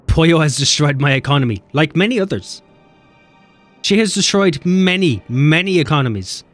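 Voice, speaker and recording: Guy streaming a game, bit high voiced, jumpy and sweary, faint music behind. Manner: animated, swearing, quick banter with chat. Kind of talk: casual live-stream chat, reading chat.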